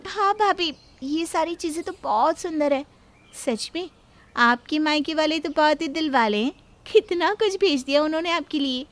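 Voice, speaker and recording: Young daughter-in-law, played by a woman: very high voice, sweet, humble, placating, family drama acting. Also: moderate pace, slight background noise, natural voice. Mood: admiring. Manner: placating, deferential. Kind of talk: Hindi family moral-story acting.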